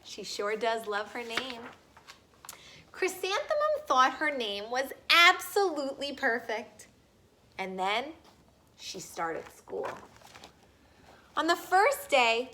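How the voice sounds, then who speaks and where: A woman reading a picture book aloud to children in an animated way, pausing to ask her young listeners simple questions. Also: fairly high pitch.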